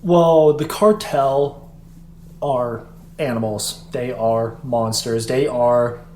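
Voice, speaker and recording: A man's voice: medium pitch, takes it slowly. A young man, a guest on a casual podcast, talking in a rambling way and saying "like" often. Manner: rambling, animated, heavy use of "like". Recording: echoey room, faint hum.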